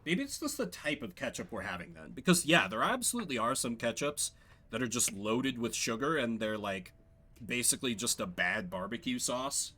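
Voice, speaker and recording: Animated, opinionated male game streamer. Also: mid-range voice, moderate pace. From Midwestern United States. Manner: opinionated, rambling. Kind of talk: casual chat and game narration.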